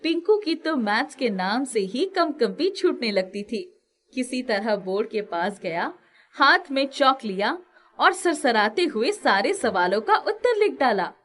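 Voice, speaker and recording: Female storyteller: raised pitch, lively swings, expressive reading of children's folk tales. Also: unhurried. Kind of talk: scripted children's story narration.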